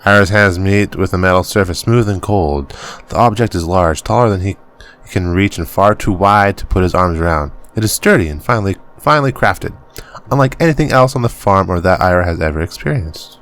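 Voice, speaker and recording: Deep-voiced man narrating a game. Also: fairly bright tone. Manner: halting reading, stumbles over words and laughs it off. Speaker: gaming channel host. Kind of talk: reading game text aloud as narration.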